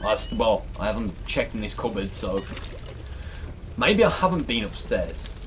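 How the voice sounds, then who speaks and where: A man's voice: on the low side, very animated, normal speed. A British man, around his thirties, giving casual, sweary, exasperated reaction commentary as he plays a horror game. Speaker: let's player. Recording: clear background noise and game ambience.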